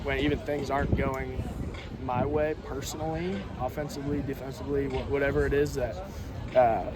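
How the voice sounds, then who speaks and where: A man's voice: medium pitch, fairly even intonation, a little fast, very noisy. A young college baseball player answering postgame questions at a press conference, often repeating words as he begins a thought. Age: young adult. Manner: repeats words when starting phrases.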